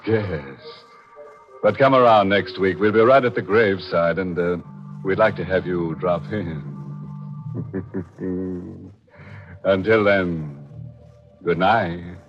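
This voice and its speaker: An actor playing a ghoulish, sardonic horror host who speaks in a sly, mock-gloomy 1940s radio style. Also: male voice, on the low side. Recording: muffled 1940s radio recording, organ music.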